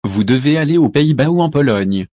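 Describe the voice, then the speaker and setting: A man's synthetic voice reading an emergency bulletin with a husky, robotic tone. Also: a little high, animated, brisk, warm tone, no echo. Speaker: emergency alert announcer. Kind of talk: synthetic alert announcement.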